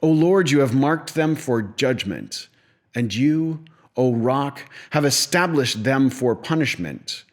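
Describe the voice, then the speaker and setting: A man reading scripture aloud as an audio Bible narrator, with solemn, deliberate phrasing and pauses. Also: mid-range voice, lively intonation.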